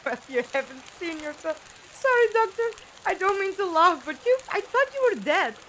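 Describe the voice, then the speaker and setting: Bright, high-pitched, defiant young heroine played by a woman. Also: very animated, moderate pace, exaggerated voice. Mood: relieved. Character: defiant young woman, the Doctor's companion Five. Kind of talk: audio drama acting. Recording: background ambience noise.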